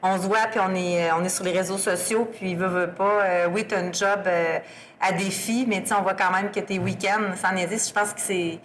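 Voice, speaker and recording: A woman's voice: mid-range voice, fairly even intonation, moderate pace, slight background noise. A woman in her forties with a Québécois French accent, hosting a podcast in a chatty, easygoing way and laughing easily. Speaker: podcast host. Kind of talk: casual podcast conversation.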